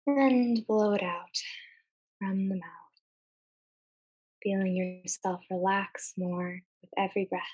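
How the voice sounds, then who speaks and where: A woman leading a guided meditation in a gentle, soothing manner, speaking in short phrases with long pauses. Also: medium pitch, fairly even intonation, rough recording.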